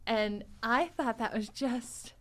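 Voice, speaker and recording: A woman hosting a casual podcast chat, recapping episodes and retelling scenes in an animated, amused way. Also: a little high, takes it slowly. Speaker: podcast host discussing an improvised audio show.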